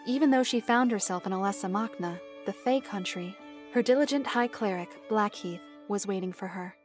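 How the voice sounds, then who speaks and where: A woman playing a reserved, composed female storyteller in a fantasy audio drama, speaking in a measured, formal, precise way with a dry, stern edge. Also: mid-range voice, faint music behind, lightly acted. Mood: solemn storytelling. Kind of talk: fantasy visual novel audio drama acting.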